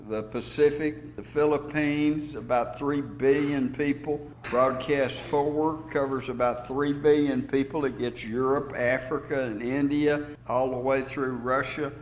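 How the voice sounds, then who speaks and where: A man's voice: medium pitch, a little hiss or hum. Earnest man making church announcements. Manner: earnest, listing facts and places. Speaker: preacher and radio host. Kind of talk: church radio broadcast announcements.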